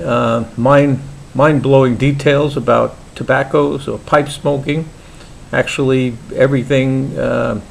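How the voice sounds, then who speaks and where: Gravelly Brooklyn-accented older man. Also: medium pitch, a little hiss or hum, low-quality recording. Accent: New York (Brooklyn). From Brooklyn, New York. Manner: halting, many "uh"s and restarts, easygoing. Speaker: pipe maker vlogger. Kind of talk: casual hobby vlog.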